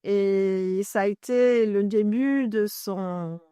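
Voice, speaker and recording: Woman from Ireland, native English speaker, interview guest who explains at length, stops to find words, mid pitch, dry recording. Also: slow, dark tone, clear, resonant tone, no room sound. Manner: searches for French words, self-correcting as she explains. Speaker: journalist and author, interview guest.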